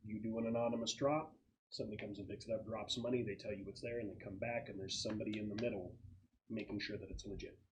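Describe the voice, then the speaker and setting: A man's voice: lowish voice, steady tone, quick pace, a little hiss or hum, low-quality recording. A man acting as game master, explaining a scenario to players in a conversational way.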